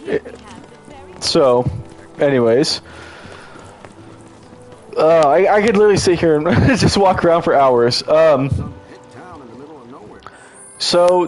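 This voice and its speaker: A man's voice: fairly high pitch, takes it slowly, pauses often, a little mellow, faint music behind. A congested man giving casual, enthusiastic gameplay commentary as a streamer, rambling and restarting his sentences often.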